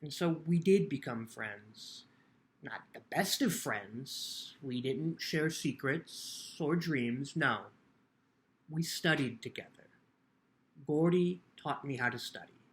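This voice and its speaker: A man's voice: a little high, animated, slow pace, low-quality recording. A man playing an earnest, lonely teenage boy who tells his own story in plainspoken, cheeky words. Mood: reflective. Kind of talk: first-person dramatic book reading.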